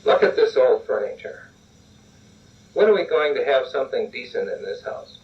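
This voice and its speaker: A man's voice: medium pitch, very animated, moderate pace, voice pushed up, close to an everyday voice. A man voicing an angry wife who scolds and accuses, in a dull lo-fi recording made from a distance. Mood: angry, nagging. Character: angry, nagging wife complaining about money.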